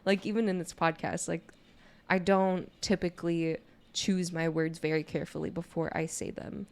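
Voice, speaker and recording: A young adult woman with a naturally low voice chats casually on a podcast, speaking in a candid, informal way peppered with "you know". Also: level tone, moderate pace, vocal fry. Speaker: podcast co-host. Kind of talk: casual conversational podcast chat.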